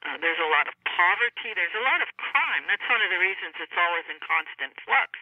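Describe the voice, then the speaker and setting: Woman, interviewed author, conversational and explanatory, halting mid-sentence, thin telephone sound, dry. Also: lowish voice, dry recording. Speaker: author being interviewed about a novel. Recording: tinny narrowband phone line.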